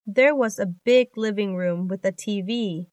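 A woman reading scripted English lesson sentences for a listening test, in a measured, carefully enunciated manner. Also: medium pitch, darkish tone, highs cut off, a little hiss or hum. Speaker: exam listening test reader.

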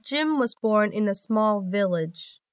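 A woman reading scripted English lesson sentences for a listening test, in a measured, carefully enunciated manner. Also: medium pitch, expressive. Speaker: exam listening test reader.

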